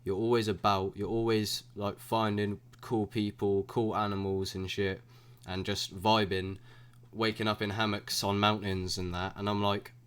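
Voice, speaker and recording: Male podcast host, casual slangy rambler, fairly low voice. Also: little pitch movement, normal speed, fairly bright tone, clean tone.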